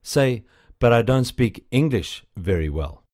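A man's voice: mid-range voice, expressive, takes it slowly, darkish tone. A man narrating a language course, giving patient scripted prompts and encouragement. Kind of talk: scripted instruction.

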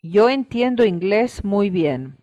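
A speaker gives slow, deliberate model phrases for learners, repeating each one. The sound is dry and a little dull, like an old course recording. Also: medium pitch, animated, warm tone, no room sound.

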